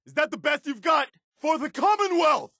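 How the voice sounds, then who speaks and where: A man's voice: very high-pitched, expressive, normal speed, pushed and bright, no room sound, clean studio sound, exaggerated voice. A man voicing an earnest, principled militia soldier as a companion in a video game. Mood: urgent battle shouts. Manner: earnest, plain-spoken soldier.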